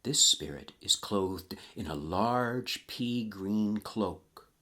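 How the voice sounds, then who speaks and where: A man narrating a rhyming story theatrically, with dramatic pauses and hushed emphasis. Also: on the low side, expressive.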